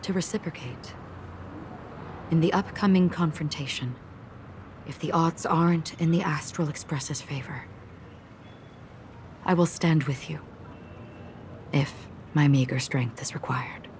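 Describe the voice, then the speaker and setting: An actress voicing a gentle, solemn young guardian in a video game, formal and earnest in measured phrases. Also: female voice, sits low, a little hiss or hum. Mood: solemn gratitude.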